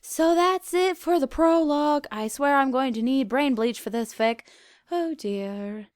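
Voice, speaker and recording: A woman narrates a fan-written story expressively. Her voice sits a little high, and the recording is dry and close, with little room sound. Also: unhurried, no room sound. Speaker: fan fiction reader.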